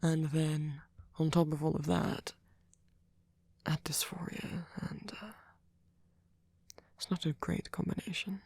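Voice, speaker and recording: A man playing a sweet, gentle vampire boyfriend in an ASMR comfort roleplay, speaking haltingly in a breathy, husky whisper. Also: on the high side, steady tone, no echo, full character voice. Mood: soft, sympathetic.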